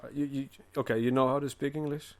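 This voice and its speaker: A man's voice: mid-range voice, fairly even intonation, fast talker. A man hosting a podcast interview, joking and stumbling over his questions in casual chat. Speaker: podcast host.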